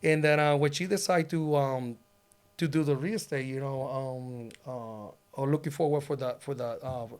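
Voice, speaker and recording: A man's voice: mid-range voice, moderate pace, smooth. A middle-aged man from Puerto Rico, a native Spanish speaker with a Puerto Rican accent, telling his story casually and ramblingly as a guest, with frequent filler phrases. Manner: rambling, peppers talk with "you know". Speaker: podcast guest, loan officer.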